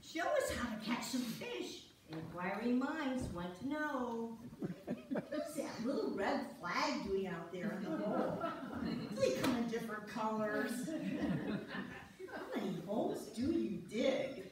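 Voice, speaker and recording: A woman's voice: fairly high pitch, sing-song, unhurried. A woman playing a cheerful, gushing, coaxing wife in an amateur stage reading. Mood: coaxing, curious. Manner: gushing, playful, exclamatory. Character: cheerful, coaxing wife visiting husband's ice-fishing camp. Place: church sanctuary, live stage reading. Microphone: distant audience camera. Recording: echoey church hall from far off, noisy.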